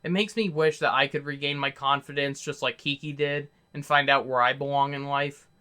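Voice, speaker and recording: A man's voice: medium pitch, fairly even intonation, moderate pace, sharp tone, clean tone. A man narrating a scripted video review as the reviewer, in an earnest, opinionated manner.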